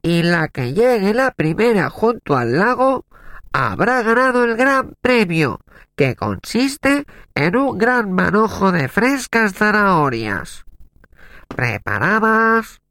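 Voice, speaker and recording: Man acting a high, swooping animal race announcer. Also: sing-song, slow, bright, forceful tone, no room sound, clean studio sound, heavily acted. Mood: ceremonious. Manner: ceremonious, exclaiming. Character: booming, excitable animal race announcer in a fable. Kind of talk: children's audio story acting.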